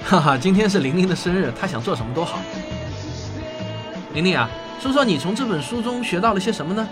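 A man's voice: high-pitched, animated, fast talker, music in the background. A man playing a genial, indulgent father who explains things patiently in a radio drama. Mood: genial teasing.

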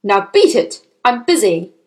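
A woman's voice: high-pitched, big pitch swings, measured pace, fairly bright tone, pitched above the performer's own voice, heavily acted. A woman voicing an impatient, bad-tempered father in a children's audiobook, barking curt commands. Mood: irritated, snapping. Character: brusque, bad-tempered father, middle-aged man, impatient bully.

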